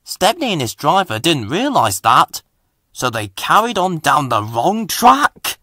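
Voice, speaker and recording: A man's voice: on the high side, sing-song, unhurried, bright, forceful tone, no echo, clean studio sound. A man narrating a scripted children's story.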